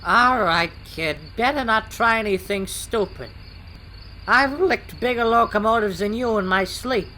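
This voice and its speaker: A man's voice: sits high, measured pace, sharp tone, slight background noise, rough recording. A man playing a cocky, threatening, boastful locomotive in a fan audio drama. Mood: cocky, menacing.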